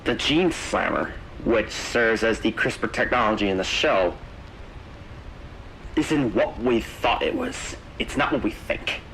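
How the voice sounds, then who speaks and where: A man delivering an in-character theory monologue for a found tape, explaining earnestly in a halting, rambling way with repeats. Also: fairly low pitch, lively intonation, gravelly, a bit bright, a little hiss or hum, poor audio. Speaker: narrator of an analog horror video.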